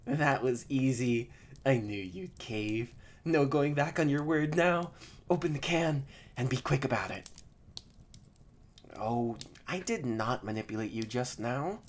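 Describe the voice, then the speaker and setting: Woman voicing a very low-pitched, bratty catboy. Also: a little slow. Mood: smug, demanding. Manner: bratty, bossy, flustered, lapsing into soft murmurs. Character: haughty, tsundere young male catboy, secretly soft-hearted. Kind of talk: scripted roleplay audio drama, addressed to the listener.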